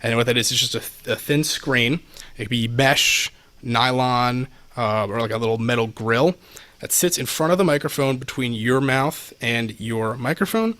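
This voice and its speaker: A man's voice: medium pitch, normal speed, pushed and bright, dry recording. A man giving a casual, practical explanation as a podcast guest, speaking close to a microphone. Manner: informal, explains practically with examples. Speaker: podcast guest, audio expert. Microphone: close podcast microphone, slightly off to the side.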